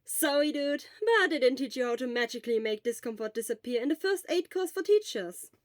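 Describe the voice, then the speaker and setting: A woman doing a breezy, loud young hero who teaches on the side. He talks high and bright, with an easy, joking warmth, in a dry, close storybook reading. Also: lively intonation, brisk, no room sound. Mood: teasing. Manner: raised bright casual voice.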